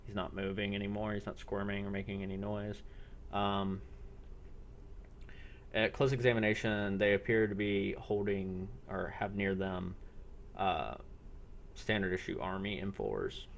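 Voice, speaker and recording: A man narrating an improvised role-playing game as game master, halting and pausing between phrases. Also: on the low side, creaky, a little hiss or hum. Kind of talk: improvised narration of a tabletop-style role-play.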